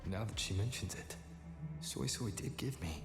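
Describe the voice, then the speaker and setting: A low-voiced, composed hero. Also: male voice, animated, normal speed. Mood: pensive. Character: calm, purposeful male traveler and hero on a mission. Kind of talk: video game voice acting. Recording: roomy echo with game music behind.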